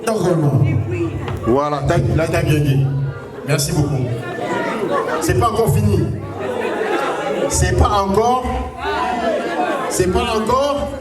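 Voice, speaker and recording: A man's voice: high voice, slow pace, pauses often. A man hosting a birthday party, teasing the guests in playful banter. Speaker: party host. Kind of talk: joking emcee banter with guests. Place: large indoor hall at a party. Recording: echoey hall, far off, loud crowd.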